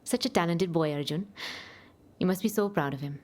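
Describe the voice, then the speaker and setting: A woman's voice: lowish voice, fairly quick, lightly acted. A woman playing a breezy, self-assured, gushing visiting aunt in a radio soap.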